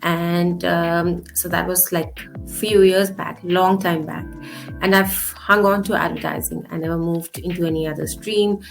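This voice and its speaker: A woman's voice: fairly low pitch, flat delivery, unhurried, gravelly. Woman in her forties from India, interview guest, thoughtful answers, faint music behind. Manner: thoughtful, with frequent fillers. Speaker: marketing guest.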